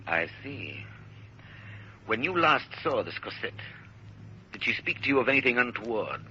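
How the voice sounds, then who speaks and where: An actor playing a big, secretive French police inspector in a 1930s radio drama, questioning in a clipped, deliberate manner. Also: male voice, mid-range voice, very animated, bright, forceful tone. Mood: coolly probing. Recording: muffled, hissy old radio broadcast.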